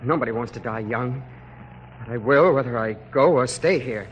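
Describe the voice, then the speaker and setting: A young man playing a sick, desperate soldier, earnest and halting, in a 1940s American radio drama. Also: medium pitch, lightly acted. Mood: halting, pleading. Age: young adult. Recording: noisy vintage 1940s radio recording.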